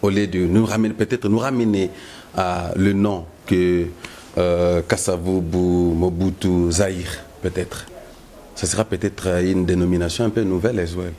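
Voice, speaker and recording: A man with a Congolese accent hosting a political interview, putting drawn-out rhetorical questions. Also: sits low, fairly even intonation, warm tone, a little hiss or hum. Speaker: host.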